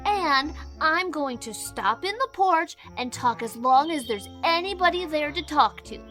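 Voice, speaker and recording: Mischievous scrappy boy, very high sharp voice, blustering and defiant, storybook drama. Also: expressive, a little slow, soft background music, heavily acted. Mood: triumphant defiance. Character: defiant, mischievous young boy, hot-tempered and bragging. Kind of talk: audio storybook character acting.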